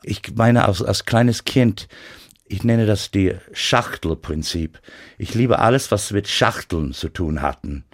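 Brief: American guest speaking careful, halting German, low-pitched, many restarts. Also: male voice. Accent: American English. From United States. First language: English. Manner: halting, searching for German words, frequent restarts. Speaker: guest pianist. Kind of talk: radio interview.

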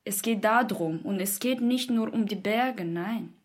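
A non-native woman explains her view in a medium-pitched voice. She is very slow and emphatic, restating her point as she goes. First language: not German. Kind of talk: unscripted explanation.

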